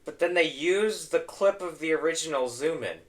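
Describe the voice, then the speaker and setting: Hoarse young man ranking toys. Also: on the high side, expressive, moderate pace. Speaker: host. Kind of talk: casual tier-list commentary.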